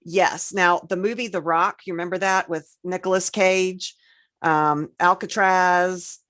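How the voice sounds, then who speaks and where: Female co-host, deep-pitched for a woman, conversational and emphatic, close dry recording. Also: fairly even intonation, measured pace, fairly bright tone, dry recording. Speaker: podcast co-host. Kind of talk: casual chat, recounting film examples.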